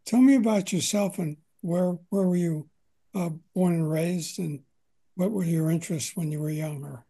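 Hesitant husky interviewer, dark tone. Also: male voice, a little high. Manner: halting, repeats words while asking questions. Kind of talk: informal interview questions.

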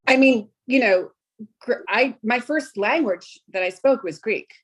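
A woman's voice: on the high side, a little slow, slightly rough. A woman, a restaurant owner chatting in an interview, enthusiastic and full of "you know" fillers. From Brooklyn, New York. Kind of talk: casual interview chat.

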